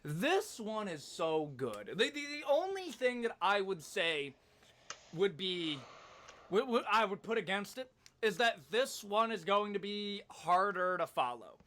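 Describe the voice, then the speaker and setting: A man's voice: sits high, very animated, a little slow, bright, forceful tone, a little hiss or hum. A man giving casual, opinionated video commentary in an emphatic, slangy, conversational way.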